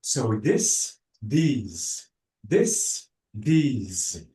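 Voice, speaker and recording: Slow patient guy teaching english, sounds a bit far off in an echoey room. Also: medium pitch, wildly swooping pitch, dark tone. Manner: slow, patient, spells out word endings, praises with "bravo". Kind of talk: online class teaching.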